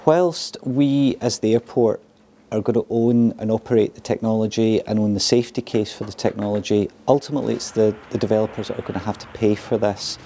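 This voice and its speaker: A man's voice: fairly low pitch, steady tone, brisk, dark tone. A middle-aged man giving an explanatory interview indoors as a manager. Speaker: air traffic control manager. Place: indoors, glazed control tower room. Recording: faint room background noise.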